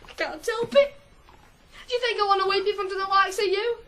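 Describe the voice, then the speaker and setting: High-voiced, stubborn young farm lad. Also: male voice, steady tone, a little fast, pushed and bright. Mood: defiant, upset. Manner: emotional, defiant, earnest. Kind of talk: 1980s radio drama acting. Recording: noisy archive radio drama recording.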